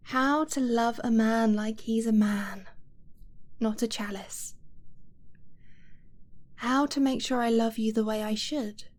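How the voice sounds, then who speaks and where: A woman playing an affectionate young dragonblooded girlfriend in an intimate ASMR romance roleplay, speaking in a breathy, hushed way with hesitant pauses. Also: fairly high pitch. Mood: earnest, soft.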